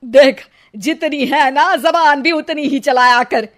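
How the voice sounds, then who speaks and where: Lady doing a cranky old mother in law from a cartoon, high voice, scolds and sneers. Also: slow pace. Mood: scolding. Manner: scolding, sharp-tongued. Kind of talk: exaggerated Hindi cartoon family drama.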